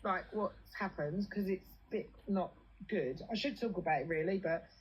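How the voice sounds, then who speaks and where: A woman's voice: on the low side, normal speed, a little hiss or hum, rough recording. A British woman chatting casually and venting in a blunt, sweary, colloquial way. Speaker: livestream host.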